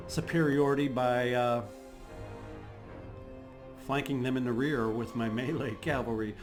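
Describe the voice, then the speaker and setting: Guy doing strategy game commentary, bit scratchy, thinks out loud, music going behind him. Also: mid-range voice. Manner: thinking aloud, hesitant, throat-clearing. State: slightly scratchy voice. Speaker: host of a gaming channel. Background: video game music.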